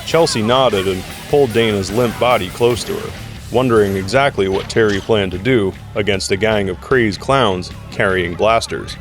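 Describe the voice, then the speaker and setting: A man's voice: lowish voice, normal speed, over music. A man reading dramatic scripted narration for an action audio drama.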